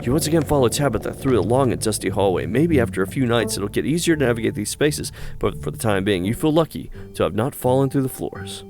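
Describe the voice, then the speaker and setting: A man's voice: mid-range voice, lively intonation, fast, few pauses. A man hosting a gaming video, casually chatting and reading story narration aloud. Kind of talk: casual let's-play with read-aloud narration.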